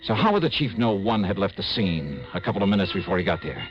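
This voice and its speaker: Tough American male investigator, deep voice, very animated, radio noir, muffled recording over music. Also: quick pace. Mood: brooding, deducing. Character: tough, shrewd, wry male insurance investigator. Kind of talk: 1950s American radio noir acting, narration and dialogue.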